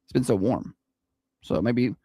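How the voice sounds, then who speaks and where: A man with a high-pitched Southern accent, hosting a morning radio show in casual, self-deprecating chat. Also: lively intonation, a little slow, dark tone, breathy edge, no echo. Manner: chatty, self-deprecating, laughs easily. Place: radio studio.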